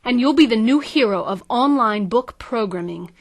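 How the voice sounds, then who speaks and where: Woman playing an ambitious high-voiced marketing consultant. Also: expressive, normal speed. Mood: smug, pitching. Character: ambitious, scheming female marketing consultant, self-assured and coaxing. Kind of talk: audio drama acting.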